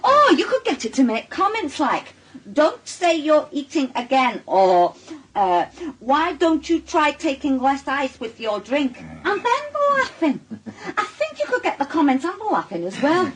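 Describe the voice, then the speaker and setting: A high, animated Northern English woman in a radio drama, a well-meaning, scatty friend. She rambles and gushes with lots of rise and fall, over a noisy recording. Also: a little slow. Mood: chatty, amused. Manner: gushing, rambling chatter. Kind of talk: naturalistic radio drama acting.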